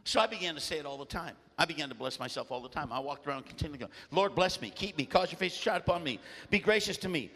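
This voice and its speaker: A man preaching a sermon with a loud voice and animated storytelling, often clearing his throat. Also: a little high, quick pace, hardly any pauses, in a large hall.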